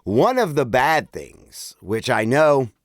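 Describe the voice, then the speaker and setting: A man's voice: medium pitch, very animated, slow, no room sound, clean studio sound. A man in a casual podcast chat, speaking bluntly and informally with quoted asides. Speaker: podcast host or co-host.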